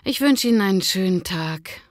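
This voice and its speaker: A woman acting a polite businesswoman on a booking call in a scripted language-lesson dialogue, speaking clearly. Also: medium pitch, expressive, normal speed, background noise, natural voice. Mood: polite, businesslike. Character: polite businesswoman booking a hotel conference by phone.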